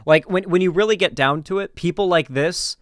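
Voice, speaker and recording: Emphatic American man, crisp dry voice. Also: sits high, fairly quick, no room sound. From Florida, United States. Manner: emphatic, opinionated, informal, swearing. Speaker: political commentary streamer. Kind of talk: casual reaction commentary.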